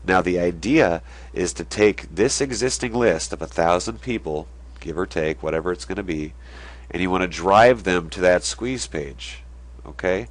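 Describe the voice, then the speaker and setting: A young man with a very low voice, blocked up and stuffy from a cold. He explains things casually and keeps stopping to check that viewers follow as he sketches. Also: normal speed. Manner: conversational, drawing on a board while explaining. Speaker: online marketing video host.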